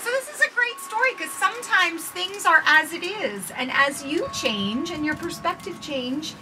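A woman in her forties reading a picture book aloud for children with expressive, sing-song phrasing, recorded outdoors on a porch. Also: very high-pitched, normal speed. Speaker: children's storytime reader. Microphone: camera a few feet away. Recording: distant camera mic, faint outdoor noise.

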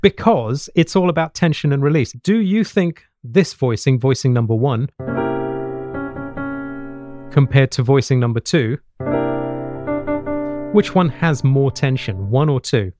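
A middle-aged man talks through a piano lesson at an ordinary pitch. He explains step by step and often adds a short question to check that it is clear. Piano playing is heard clearly along with his close-miked voice. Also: lively intonation, measured pace, pauses often. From England. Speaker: jazz piano teacher. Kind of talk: instructional lesson talk with piano demonstrations. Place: small home study with bookshelves. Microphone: large studio mic on boom arm, close.

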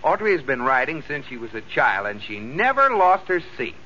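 Casting: male actor as jovial, awkward sitcom husband, animated, very noisy old radio with audience. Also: mid-range voice, normal speed, dull audio, close to an everyday voice. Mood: awkwardly cheerful. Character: cheerful, slightly awkward husband. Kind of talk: 1940s radio comedy acting.

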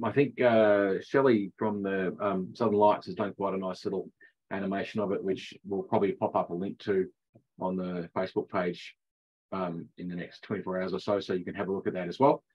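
A middle-aged man coaching ice hockey, explaining the rules in a chatty online session and laughing at his own jokes. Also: on the low side. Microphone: video call. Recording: dull lo-fi video call audio.

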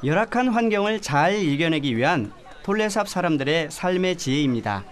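A man giving calm, measured scripted voice-over narration for a travel documentary. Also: fairly high pitch. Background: background music.

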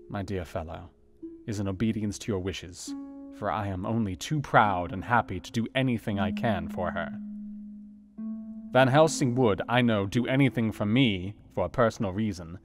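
An actor playing an earnest Victorian doctor reading his letter in a radio play, in a measured, formal manner. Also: on the low side, lightly acted. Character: earnest male Victorian doctor writing to a friend. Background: music with plucked guitar.

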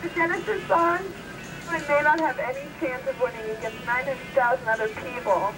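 A woman's voice: high voice, normal speed, music in the background, rough recording. A woman calling in to a radio station, chatting casually in a teasing, talkative way. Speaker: radio call-in listener. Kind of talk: casual phone chat.

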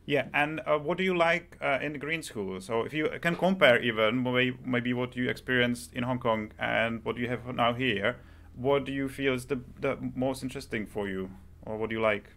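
A man hosting a podcast interview, speaking conversationally with hesitant, halting phrasing. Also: mid-range voice, a bit bright. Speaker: podcast host.

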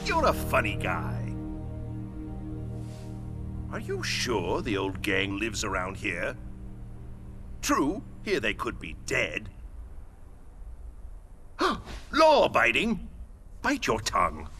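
A sly villain clown, bright sharp tone, wild swoops, slow taunting pace. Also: male voice, lowish voice, wildly swooping pitch, faint music behind, heavily acted. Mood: mocking. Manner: sing-song, mocking, wildly swooping delivery. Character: grinning male villain clown, theatrical and menacing. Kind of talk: video game cutscene acting.

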